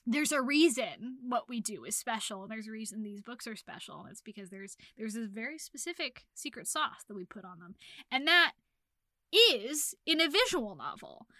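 A woman hosting a casual podcast discussion, talking in an animated, emphatic way and building her points in lists. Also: on the high side, normal speed, dry recording, studio quality. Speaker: podcast host; romance writer.

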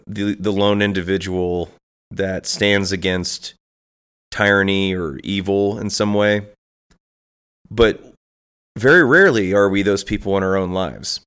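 Stuffed-up guy musing on his podcast, super low voice, smooth and resonant. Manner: rambling, thinking aloud with restarts and asides. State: congested from allergies. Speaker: podcast host. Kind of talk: solo reflective monologue.

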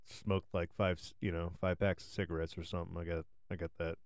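A man's voice: deep voice, little pitch movement, fairly quick, no echo, clean studio sound. A man co-hosting a podcast, congested and nasal from allergies, rambling through a story in casual talk. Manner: rambling, chatty, swears freely. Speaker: podcast co-host. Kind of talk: casual chat.

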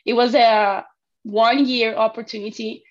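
A young woman from Argentina with an Argentine Spanish accent, speaking halting non-native English as a guest in a casual interview. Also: a little high, fairly even intonation. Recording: dull, lo-fi call audio.

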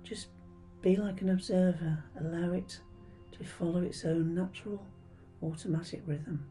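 Female meditation guide: soft and breathy, very mellow, soothing delivery with gentle pauses, music underneath. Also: lowish voice, steady tone. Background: background music.

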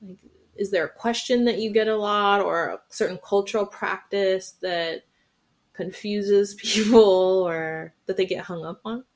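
A woman hosting a casual podcast conversation. She asks playful, probing follow-up questions in an easygoing, middle-pitched voice. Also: a little slow. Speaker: podcast host.

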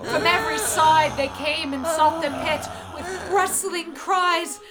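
A woman playing a weary seafaring hero in an audio drama, telling the tale in grave, measured, dramatic verse. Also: very high voice, very noisy. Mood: fearful, intense.

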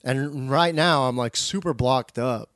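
A man co-hosting a podcast, chatting casually and effusively about an animated show in slangy, informal language. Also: medium pitch, measured pace, no room sound, clean studio sound. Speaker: podcast co-host.

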